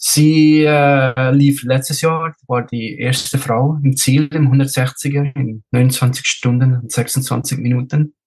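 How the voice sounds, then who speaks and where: A man's voice: mid-range voice, fairly even intonation, a little slow, hardly any pauses, darkish tone, husky. A man from Switzerland talking as a race director in a casual podcast interview, repeating words and dropping in the odd English one.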